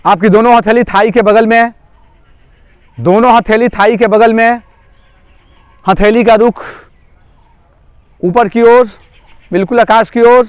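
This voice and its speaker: Casting: male yoga nidra instructor, high smooth voice, repetitive step-by-step guidance, dry close sound. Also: steady tone, takes it slowly, pauses often, no room sound. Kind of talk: guided relaxation instruction. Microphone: microphone through a loudspeaker.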